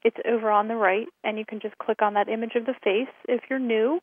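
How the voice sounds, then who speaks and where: A woman hosting a radio show, hoarse from a cold, giving friendly announcements with many fillers. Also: on the high side, steady tone, a little fast, no room sound. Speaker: host. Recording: thin phone-line sound.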